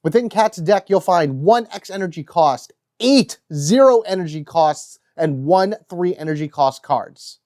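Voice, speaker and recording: A man's voice: sits high, animated, measured pace, few pauses, darkish tone, dry recording, studio quality. A man with a nasal, stuffed-up voice from a cold, chatting enthusiastically through a card-by-card board game breakdown and reading card text aloud. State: congested with a cold.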